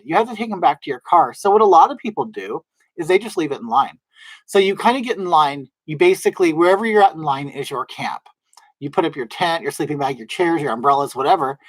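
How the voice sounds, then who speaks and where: A man with a soft, feminine-sounding voice, rambling casually to his livestream viewers with lots of fillers and restarts. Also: on the high side, no room sound, poor audio. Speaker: livestream host. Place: at home in drag, wig on.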